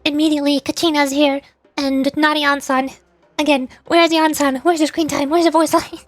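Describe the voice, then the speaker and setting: High-voiced playful woman streamer. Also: little pitch movement, normal speed, no echo. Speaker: streamer voice acting game dialogue. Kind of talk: casual livestream chat. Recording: music behind.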